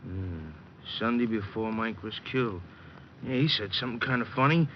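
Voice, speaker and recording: A man's voice: on the low side, moderate pace, natural voice. Big simple wrestler played by a man, dull recording. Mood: friendly, puzzled. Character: big, slow-witted, good-natured male wrestler client. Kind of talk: old-time radio drama acting. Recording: dull old radio recording, light noise.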